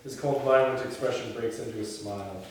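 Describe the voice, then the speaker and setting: A man's voice: mid-range voice, fairly even intonation, brisk. A man reading a film script aloud at an informal table read, with blunt, casual remarks to the crew. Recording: echoey room, distant mic, faint noise.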